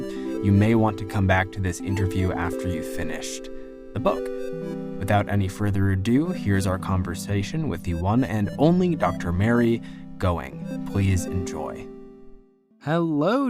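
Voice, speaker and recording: A man hosting a podcast, introducing and interviewing in a conversational way. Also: lowish voice. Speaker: podcast host and producer.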